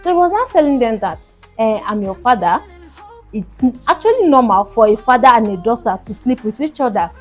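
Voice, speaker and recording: A woman's voice: fairly high pitch, big pitch swings, a little fast, faint music behind. A woman hosting a story show, telling a first-person tale in an emphatic, dramatic way with repeated phrases.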